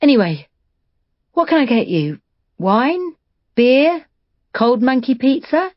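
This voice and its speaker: A woman doing a bright, eager young woman. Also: high voice, sing-song, slow pace, dry recording, pitched above the performer's own voice, full character voice. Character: bright, nervous young woman welcoming a visitor. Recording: slightly dull dry studio recording.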